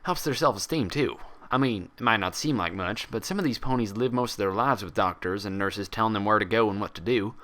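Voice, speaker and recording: A man's voice: lowish voice, a little fast, few pauses, fairly bright tone, natural voice. A man voicing a sensible, easily exasperated female pony doctor who explains things in a folksy way. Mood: reassuring.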